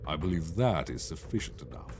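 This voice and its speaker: A man's voice: a bass voice, sing-song, fairly quick, darkish tone, faint music behind, exaggerated voice. A man playing a cold, severe, dismissive judge in a fantasy audio drama. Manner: dismissive, haughty.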